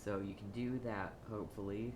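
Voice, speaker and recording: A woman's voice: rumbling low, steady tone, fairly quick, background noise. A young woman giving casual game commentary as a streamer, often hesitant and repeating herself.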